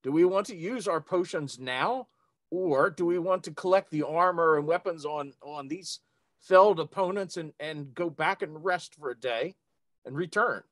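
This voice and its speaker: Male tabletop player, deliberate and hesitant, fairly high pitch, very dry recording. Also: fairly bright tone, dry recording. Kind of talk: casual game-table discussion.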